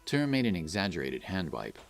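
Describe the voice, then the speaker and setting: A man's voice: low-pitched, wildly swooping pitch, a little fast, vocal fry, faint music behind. A man narrating a scripted fantasy story as the narrator of an audio drama.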